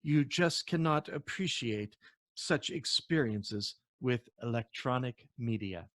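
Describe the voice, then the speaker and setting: Courteous antique dealer played by a male reader, storybook character, bookish, measured, eloquent, dry close sound. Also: medium pitch, expressive, fairly bright tone, dry recording. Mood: gracious, reflective.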